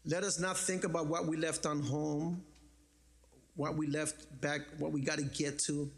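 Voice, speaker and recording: A pastor from the Bronx, preaching a sermon in a lively, joking, conversational way. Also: male voice, a little high, unhurried, gravelly. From the Bronx, New York.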